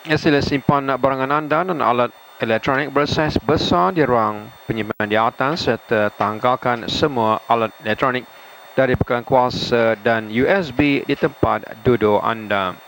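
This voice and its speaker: A man with a Malaysian accent reading formal cabin crew announcements in a halting way. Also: medium pitch, vocal fry. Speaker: airline cabin crew member. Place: aircraft cabin, over the PA. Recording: faintly noisy aircraft cabin announcement audio.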